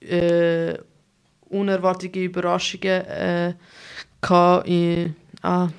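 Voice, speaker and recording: A woman with a Swiss German accent hosting a laid-back podcast interview. Her voice has a gravelly, creaky edge, and she eases into her questions with hesitant fillers. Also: fairly low pitch, completely flat delivery, slow pace. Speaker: podcast host. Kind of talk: casual conversational interview.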